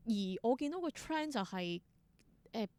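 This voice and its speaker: A woman's voice: on the high side, very animated, normal speed, a little mellow, no room sound. A woman with a Hong Kong Cantonese accent telling stories casually as an interview guest, thinking aloud in short, reflective bursts. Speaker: interview guest, independent theatre performer.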